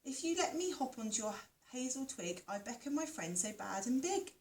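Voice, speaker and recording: A woman doing a high sly little mouse. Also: sing-song, a little mellow, a little hiss or hum. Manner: sly, quick-witted. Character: tiny clever boastful mouse.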